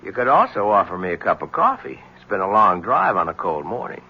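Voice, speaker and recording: An actor playing a persistent, cynical newspaper reporter in a 1970s radio drama, wry, teasing and probing. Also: male voice, lowish voice, sing-song, fairly quick, slightly rough, heavily acted. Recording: dull, hissy old radio recording.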